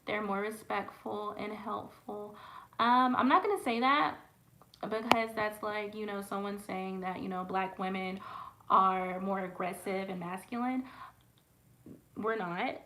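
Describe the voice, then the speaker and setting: Late-twenties woman, livestream host, frank chatty advice, mid pitch, lots of "you know.". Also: a little slow, bright, forceful tone, slight background noise, poor audio. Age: late 20s. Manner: frank, conversational, many fillers. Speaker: livestream host and dating coach. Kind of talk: casual livestream Q&A.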